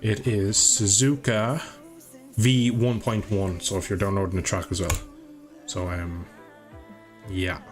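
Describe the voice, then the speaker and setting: A man's voice: fairly low pitch, level tone, measured pace, long pauses, music in the background. A man chatting his way through a live game stream, rambling and swearing when he crashes. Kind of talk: live gameplay commentary.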